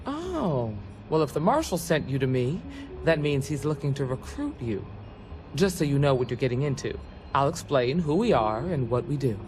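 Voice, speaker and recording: A woman's voice: very deep voice, sing-song, normal speed, a little hiss or hum. A woman playing an earnest, folksy female ranger recruiter in a video game. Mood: earnest, matter-of-fact. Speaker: recruiting officer. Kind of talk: video game character dialogue. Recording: game audio with loud music bed. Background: music.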